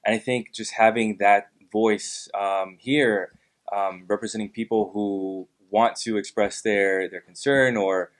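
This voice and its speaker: Young male guest from Miami, slightly low pitch, measured and hesitant interview delivery. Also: steady tone. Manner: measured, many ums and uhs. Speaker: guest, political club officer. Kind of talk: interview answers.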